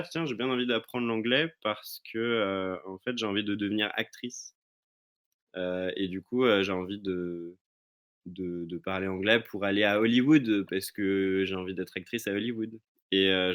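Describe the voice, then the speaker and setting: A man's voice: fairly low pitch, a bit bright. A man chatting casually and telling personal anecdotes as a podcast guest, often restarting and searching for his words. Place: remote recording from own location.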